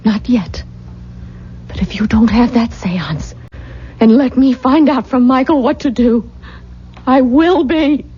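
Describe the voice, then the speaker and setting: Haunted young widow, muffled old radio. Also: female voice, a little high, takes it slowly, pauses often, dark tone, slight background noise. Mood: frantic, demanding. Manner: intense, dramatic, pleading. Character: distraught, haunted young widow, obsessive and desperate. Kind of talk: old-time radio drama acting.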